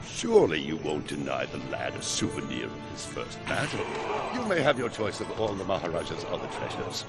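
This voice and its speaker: A man's voice: sing-song, a little fast, exaggerated voice. Boastful king, wildly swooping delivery. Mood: gloating, commanding. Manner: grandiose, booming proclamations, theatrical. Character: boastful, greedy, hearty warrior king of Persia. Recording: loud music behind. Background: loud game score music.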